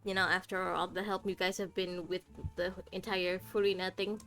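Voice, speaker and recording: A woman's voice: lowish voice, little pitch movement, moderate pace, fairly bright tone, soft background music. A woman streamer casually chatting and joking as she plays a game, talking back to the characters. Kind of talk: casual live game commentary.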